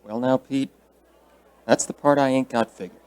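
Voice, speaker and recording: An actor playing a steady, folksy, plain-spoken small-town sheriff in a radio drama. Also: male voice, mid-range voice, normal speed, clean tone, lightly acted. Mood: pondering, authoritative.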